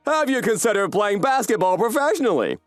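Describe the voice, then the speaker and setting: A man's voice: high voice, sing-song, a little fast, faint music behind. A man playing an earnest, encouraging professional basketball coach in an anime-style dub. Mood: earnest, persuasive.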